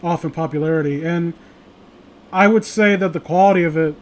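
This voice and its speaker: A man's voice: a little high, a little fast. A man hosting a casual discussion of horror stories, rambling, joking and swearing freely. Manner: rambling, foul-mouthed, joking. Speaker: host of a horror story reading show. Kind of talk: casual chat and commentary on stories. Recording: noisy home recording, clear background hiss.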